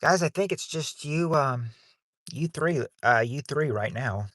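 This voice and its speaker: A man's voice: fairly high pitch, expressive, measured pace, a bit bright, dry recording, clean studio sound. A man hosting a livestreamed game night, chatting loosely and often restarting his sentences. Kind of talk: casual chat while hosting a game.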